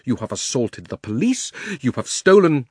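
A man voicing a pompous, aggrieved older gentleman in an audiobook, speaking formally with wounded dignity. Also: medium pitch, sing-song, moderate pace, husky, dry recording, clean studio sound. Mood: indignant, aggrieved.

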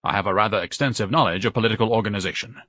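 A man's voice: lowish voice, rapid-fire, bright, forceful tone, no echo, clean studio sound. A man voicing a pompous, bombastic scholar with a solemn, orator-like way of talking and florid diction, in a storybook narration. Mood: stern. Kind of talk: audiobook character acting.

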